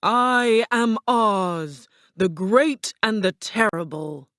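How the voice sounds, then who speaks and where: Storybook wizard, a living fireball, imperious and booming, very drawn-out delivery by a female reader. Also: animated, dry recording, studio quality. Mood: menacing. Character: terrible great wizard as a roaring ball of fire, commanding.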